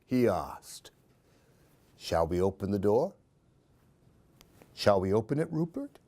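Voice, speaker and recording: A man's voice: fairly low pitch, sing-song, slow pace, dark tone. A man reading a children's story expressively, in a sing-song way, putting questions to young listeners. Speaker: storyteller reading picture books for a library program.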